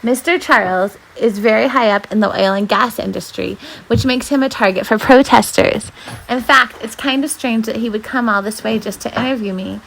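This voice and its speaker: A woman's voice: on the high side, moderate pace, vocal fry, fairly bright tone, rough recording. A woman voicing a nervous storybook father who puts on cheer and sounds hesitant and eager to please. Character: nervous working dad, putting on cheer for children.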